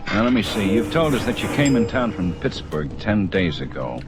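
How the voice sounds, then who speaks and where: Low-voiced, skeptical police lieutenant. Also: male voice, very animated. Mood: methodical. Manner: measured, skeptical, needling. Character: probing, methodical male police lieutenant. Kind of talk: old-time radio crime drama acting. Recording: dull old radio recording, loud vehicle noise. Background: loud rumbling vehicle noise from truck game.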